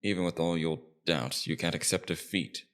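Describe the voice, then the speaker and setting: A man playing a suave, menacing demon king in an ASMR roleplay, coaxing and condescending in a slow, deliberate, domineering way. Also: low voice, fairly bright tone. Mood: condescending, probing. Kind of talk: ASMR roleplay character acting.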